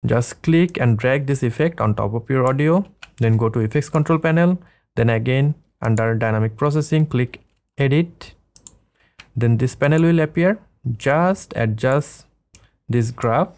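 A man in his thirties hosting a casual software tutorial, explaining things step by step. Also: medium pitch, measured pace. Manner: step-by-step instructing. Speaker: tutorial host. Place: small indoor studio room.

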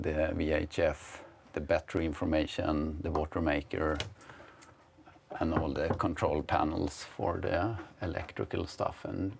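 A Swedish man in his fifties talks through the cabin of his sailboat in accented English, halting often on "uh". His voice sits very low with a gravelly edge, and he speaks slowly. He is recorded on a lapel mic inside the small wooden cabin. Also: a little hiss or hum. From Sweden. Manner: hesitant, many "uh" fillers, friendly. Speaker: sailing vlogger giving a boat tour. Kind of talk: casual guided tour. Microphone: clip-on lapel mic.

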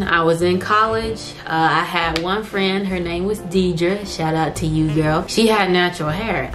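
A young woman talks straight to a camera set up in her living room, chatty and animated, slipping into the voices of people she quotes. Her voice sits on the low side, and faint music plays quietly behind her. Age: young adult. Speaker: hair vlogger. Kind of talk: casual chat to camera. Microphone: camera on tripod at medium distance.

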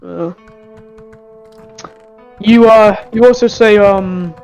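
A man playing a polite, folksy Wild West sheriff in an improvised game roleplay, speaking haltingly with many pauses. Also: high voice, a little mellow, smooth, full character voice. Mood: concerned, hesitant. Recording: dull muffled audio with guitar music behind.

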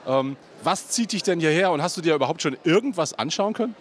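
A man's voice: fairly high pitch, expressive, quick pace, slight background noise. Chatty male event reporter with handheld mic. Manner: chatty, leading questions with frequent fillers. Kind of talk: on-site event interviews and wrap-up to camera. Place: large indoor convention hall. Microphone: handheld microphone.

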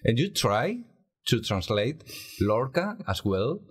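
A man's voice: medium pitch, sing-song, slow pace, breathy edge. A man, a native Spanish speaker with a Spanish accent, asking questions in a casual interview.

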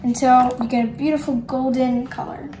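A woman's voice: high-pitched, level tone, normal speed, warm tone, slight background noise. A teenage girl hosting a home cooking video, bubbly and chatty as she walks through a recipe in her kitchen. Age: teens. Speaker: cooking show host. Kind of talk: casual cooking demo. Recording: echoey kitchen room.

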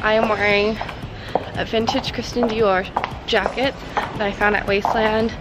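A woman's voice: medium pitch, little pitch movement, measured pace, heavy background noise. A young woman, congested and tired from a cold, chatting casually to a handheld camera as she walks around a city. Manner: chatty, self-deprecating. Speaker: vlogger. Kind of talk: casual travel vlog. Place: outdoors in city streets and gardens. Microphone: handheld camera at arm's length.

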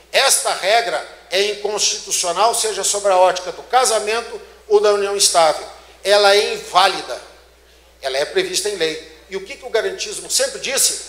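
A man's voice: sits high, a bit bright. A middle-aged Brazilian man giving a law lecture on stage, emphatic and full of rhetorical questions to the audience. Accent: Brazilian Portuguese. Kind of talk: conference lecture. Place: large dark auditorium, on stage. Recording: echoey auditorium.

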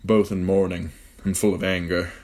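A man's voice: low voice, little pitch movement, moderate pace, darkish tone, lightly acted. A man voicing a stern, honorable male lord who talks bluntly and earnestly.

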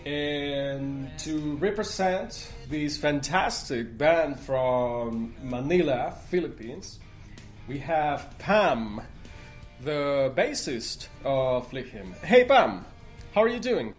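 A man hosting a music interview show, speaking effusively with halting phrasing. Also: on the high side, animated. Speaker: radio talk show host. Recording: music playing behind the voice. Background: music with guitar.

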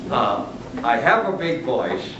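A man's voice: mid-range voice, very animated, takes it slowly. An older man, a retired literature professor, lecturing at a lectern in a large room, chatty and humorous with chuckles at his own jokes. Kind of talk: lecture with asides. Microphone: camera at the back of the room. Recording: roomy, distant, dull, noisy camera audio.

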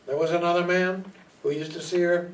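A man's voice: sits high, flat delivery, fairly quick, close to an everyday voice. An older man acting a wry, hardboiled private detective in a stage radio play, narrating and questioning. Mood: confident. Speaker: amateur radio play actor. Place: small hall stage, indoors. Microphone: stand microphone, recorded by far camera. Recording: noisy, distant hall PA recording.

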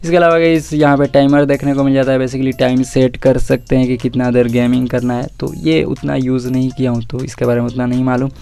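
Guy doing a casual phone walkthrough, mellow but clean voice, music faintly behind. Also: medium pitch, flat delivery, brisk, creaky, few pauses, clean tone. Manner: informal, English words mixed in. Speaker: tech reviewer.

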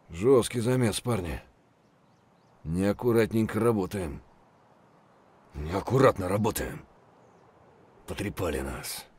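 An actor playing an aggressive, cursing Russian soldier in a military shooter game, with a rough, husky voice. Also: male voice, on the low side, darkish tone, full character voice. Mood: grim, rattled. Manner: barking, cursing. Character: aggressive, foul-mouthed Russian male soldier in combat.

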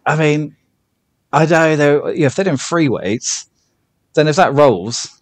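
A man's voice: a little high, animated, unhurried, no room sound. A British man hosting a podcast in a casual, humorous chat. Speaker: podcast host.

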